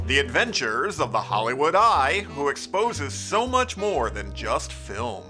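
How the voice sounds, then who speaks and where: An actor playing a dramatic announcer introducing a detective radio serial in 1940s style, theatrical and deliberate. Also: male voice, medium pitch, big pitch swings, bright, forceful tone, soft background music. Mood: grand, dramatic.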